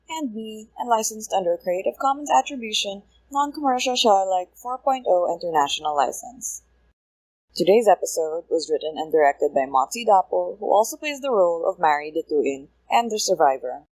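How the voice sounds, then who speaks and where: A woman's voice: medium pitch, a little mellow, no echo, rough recording. A woman podcaster reading a friendly scripted outro in an upbeat, chatty way. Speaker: podcast co-creator reading credits.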